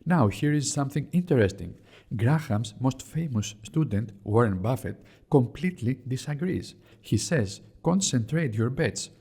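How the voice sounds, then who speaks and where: Greek-accented man explaining investing, husky. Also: medium pitch, animated, normal speed, darkish tone. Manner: plain, direct teaching tone. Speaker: host of investing book series. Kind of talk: scripted explainer narration.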